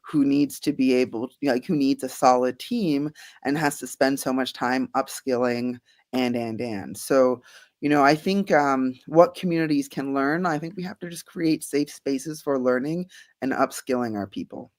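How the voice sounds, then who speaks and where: A woman's voice: very deep voice, normal speed. Earnest woman, thoughtful answers. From Bahamas. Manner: earnest, thoughtful, many fillers and restarts, soft laughs. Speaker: guest, climate scientist.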